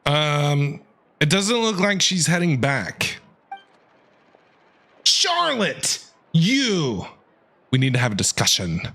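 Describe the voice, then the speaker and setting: Chatty lower-pitched woman streamer, animated. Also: slow pace, long pauses. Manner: chatty, offhand. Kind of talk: casual gameplay reaction commentary.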